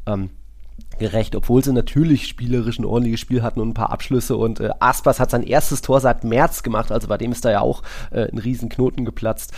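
A man co-hosting a football podcast, talking casually in a rambling, colloquial and animated way. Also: medium pitch, fairly quick. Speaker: podcast host.

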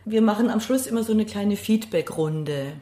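A woman's voice: medium pitch, normal speed, mellow, roomy sound. A middle-aged woman, talking reflectively in an interview and searching for words as she goes. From Bavaria, Germany. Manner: thoughtful, searching for words, seeks agreement with short tag questions. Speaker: interview guest, singer and grief singing-circle leader.

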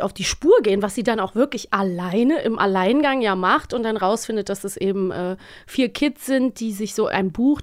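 A young woman chatting informally and enthusiastically as a podcast co-host. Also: mid-range voice. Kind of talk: casual podcast chat about children's audio dramas.

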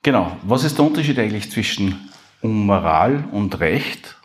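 A man's voice: fairly low pitch, expressive, normal speed. A man hosting a podcast live on stage, rambling and self-deprecating, a little nervous. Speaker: podcast host. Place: university hall before a live audience.

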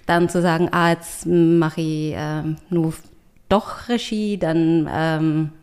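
Woman, interview guest, writer and artist; lowish voice, thoughtful, hesitant phrasing. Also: fairly even intonation, takes it slowly, a little mellow, clear, resonant tone. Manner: thoughtful, searching for words, many fillers and restarts. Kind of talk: reflective conversational interview.

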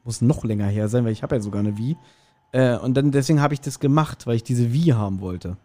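A man chatting casually as a podcast host, telling anecdotes in an animated way and acting out quotes. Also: mid-range voice, quick pace. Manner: animated retelling with acted-out quotes. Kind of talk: casual chat, anecdotal storytelling.

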